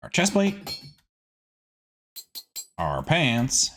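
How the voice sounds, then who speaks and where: A man's voice: medium pitch, wildly swooping pitch, very slow, fairly bright tone, faint music behind. A man hosting a casual gaming video, chatting to himself playfully as he plays, with silly words and sing-song asides. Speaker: gaming host. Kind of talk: casual game commentary, let's play.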